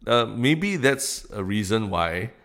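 A man with a Singaporean accent on a podcast, building his points slowly, often restarting words. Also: on the low side, big pitch swings, fairly bright tone. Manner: thoughtful, repeats words while building a point. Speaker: podcast co-host. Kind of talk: casual podcast chat.

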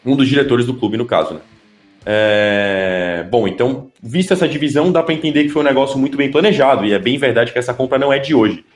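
Man, mid-pitch, halting podcast talker, roomy echo, faint music underneath. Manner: hesitant, frequent filler sounds and restarts. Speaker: podcast co-host. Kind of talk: casual chat.

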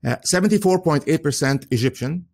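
A man's voice: fairly high pitch, animated, brisk, gravelly. A man whose first language is Arabic, giving an earnest solo commentary in English with frequent chuckles and many "uh"s and restarts.

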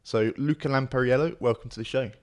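A man's voice: mid-range voice, fast talker. A man hosting a podcast interview, asking questions in an enthusiastic, encouraging way. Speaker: podcast host.

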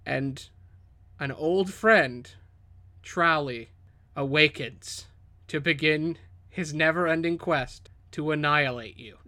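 A man with a slightly high voice runs a tabletop game. He tells the story dramatically and in vivid description, as a game master does. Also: pushed and bright. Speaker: game master and podcast host.

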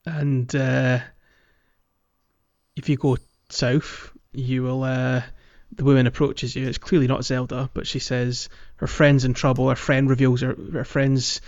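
The slightly dull recording carries a man's voice of ordinary pitch. He talks as a podcast host, casually retelling a video game and feeling his way haltingly through each sentence. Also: little pitch movement, a little mellow.

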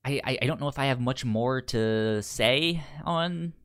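Male podcast co-host, medium pitch, informal film talk, stop-start delivery with repeated words. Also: fairly bright tone, clean tone. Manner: halting, repeats words and syllables. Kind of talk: casual film discussion.

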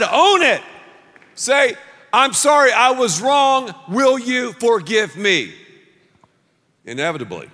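Animated pastor preaching in a reverberant hall. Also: male voice, sits high. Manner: animated storytelling, shouting acted-out lines. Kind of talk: sermon.

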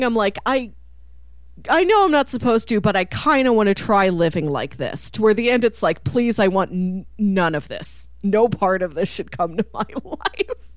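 A woman chatting casually as a podcast co-host about media, animated, often acting out imagined reactions and laughing through her words. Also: fairly high pitch, no room sound, clean studio sound. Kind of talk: casual film discussion.

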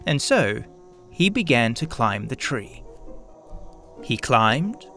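A man hosting and narrating a children's story in a theatrical, expressive, deliberate storyteller style. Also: medium pitch, faint music behind. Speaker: host and narrator of a radio play show.

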